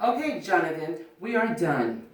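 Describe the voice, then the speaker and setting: A woman's voice: on the low side, sing-song, moderate pace. A woman playing a friendly, chatty female barber in a cold read of a film script. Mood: relaxed, friendly. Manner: casual, plain-spoken, chatty. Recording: very echoey room, distant mic, faint noise.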